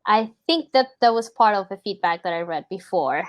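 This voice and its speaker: A woman's voice: medium pitch, expressive, fairly quick. A woman from Puerto Rico whose first language is Spanish, reviewing a design portfolio over a video call in a friendly manner, often laughing.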